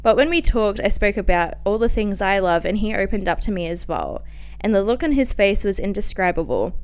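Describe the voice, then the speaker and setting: Australian female, 20s, crisp mid-range voice. Podcast host, chatty confessional read, self-mocking and animated. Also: mid-range voice, brisk. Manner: animated, self-mocking, giggly asides, frequent "like". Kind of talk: casual, confessional podcast storytelling and diary reading.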